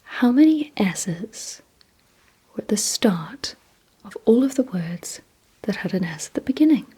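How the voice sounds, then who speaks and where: A woman whispering in an ASMR roleplay, giving gentle instructions in a breathy, mostly voiceless hush with deliberate pauses. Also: mid-range voice, big pitch swings, rough recording. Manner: slow, deliberate, hushed delivery with short pauses. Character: calm, softly commanding female vampire examiner. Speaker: ASMR performer.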